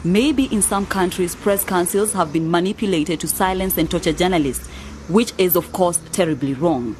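A brisk, authoritative woman official in a radio drama, played by an actress. Her voice is on the low side, with faint street ambience behind it. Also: darkish tone, slight background noise, natural voice. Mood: official, explaining. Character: brisk, authoritative woman director of a press council. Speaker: actor in an educational radio drama. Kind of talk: scripted radio drama dialogue.

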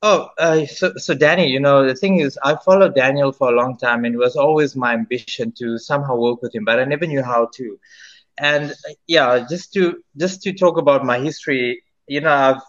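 A man's voice: mid-range voice, moderate pace, lo-fi sound. A South African singer in his thirties, answering interview questions in a rambling way with many restarts. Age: 30s. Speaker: singer-songwriter guest.

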